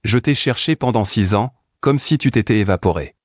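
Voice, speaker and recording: A man's voice: on the low side, expressive, moderate pace, no echo. A man voicing a cold, commanding young CEO in a dubbed drama, giving curt orders. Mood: longing. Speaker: cold company boss.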